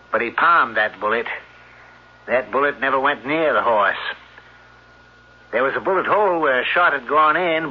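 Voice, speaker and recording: An actor playing a shrewd townsman telling a grim tale in a deliberate, suspenseful old-time radio style. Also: medium pitch. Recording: dull old radio recording.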